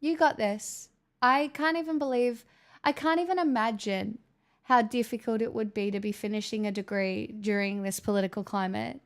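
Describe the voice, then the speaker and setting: A woman's voice: a little high, moderate pace, clear, resonant tone. Chatty, swearing woman streamer. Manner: chatty, sweary, joking, answers chat messages. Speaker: livestream host reading chat. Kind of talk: casual livestream chat.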